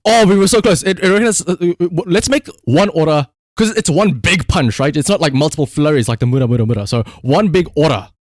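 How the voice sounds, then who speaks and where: A man's voice: fairly high pitch, lively intonation, fast talker, few pauses, no room sound, studio quality. A man doing casual live game-stream commentary, swearing freely and repeating short command words.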